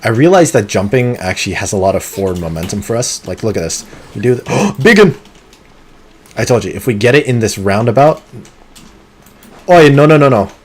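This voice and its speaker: A man streaming a game live, talking through it in an excitable, competitive way, with sudden gasps and pleading. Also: fairly low pitch, a little fast, long pauses. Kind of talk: live gameplay commentary.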